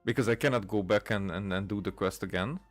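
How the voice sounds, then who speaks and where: Low-voiced man commentating a game playthrough. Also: quick pace, no room sound, soft background music. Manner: hesitant, stumbling when reading aloud. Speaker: gaming video host. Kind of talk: casual game playthrough commentary, reading dialogue aloud.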